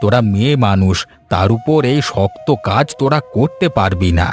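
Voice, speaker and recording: A man's voice: lowish voice, lively intonation, normal speed, soft background music, natural voice. A man playing a caring, worried village father, earnest and fatherly, in a children's fairy-tale cartoon.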